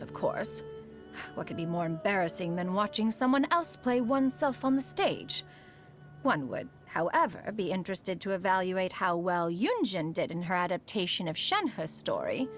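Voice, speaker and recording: A woman playing a proud, refined female immortal in a video game, speaking in a formal, stately manner, aloof yet fond beneath it. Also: medium pitch. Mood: prim, dignified. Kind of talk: scripted video game character monologue.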